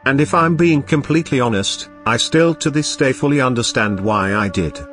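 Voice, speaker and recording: A young man talks through a retro game review in a casual, upbeat voice of middling pitch. He mixes enthusiasm with dry joking asides. Soft game music plays underneath. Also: moderate pace. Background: game music playing.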